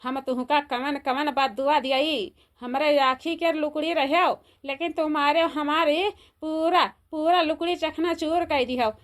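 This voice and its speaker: A woman as a scolding, high-pitched village mother-in-law. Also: steady tone, unhurried. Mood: bitter reproach. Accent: Awadhi. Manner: scolding, repetitive rhetorical questions. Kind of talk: comedy cartoon voice acting.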